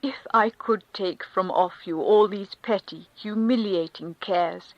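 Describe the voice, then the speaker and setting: Woman reading a devout countess, fervent, preaching, unhurried. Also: mid-range voice, no echo, dull audio. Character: devout rapturous aristocratic countess. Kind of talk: audiobook character reading.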